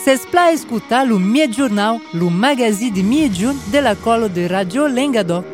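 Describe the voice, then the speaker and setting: A woman's voice: on the high side, expressive, moderate pace, music in the background. A woman announcing a radio programme in a formal tone. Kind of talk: station announcement.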